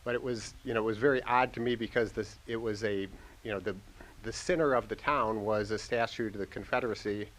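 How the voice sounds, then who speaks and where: A man's voice: on the low side, fairly even intonation, fairly quick, a bit bright, low-quality recording. A man in the audience telling a reflective personal story. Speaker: audience member.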